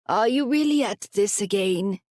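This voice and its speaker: Woman as a snappish, animated old grandmother. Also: medium pitch, normal speed, no room sound, studio quality, close to an everyday voice. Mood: angry scolding. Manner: scolding, emphatic. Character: snappish, scolding elderly grandmother.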